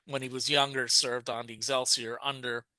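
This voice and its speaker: A man co-hosting a podcast in casual discussion, often halting and correcting himself as he goes. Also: mid-range voice, flat delivery, pushed and bright, no room sound. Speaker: podcast co-host.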